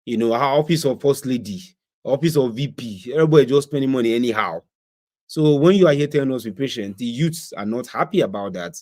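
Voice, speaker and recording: A Nigerian man hosts his own livestream and talks through the news in a loose, conversational way. His voice sits at an ordinary middle pitch. He leans on pointed rhetorical questions and mixes pidgin phrases into his English. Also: fairly quick, darkish tone. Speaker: livestream host. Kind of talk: casual political commentary. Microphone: streaming setup.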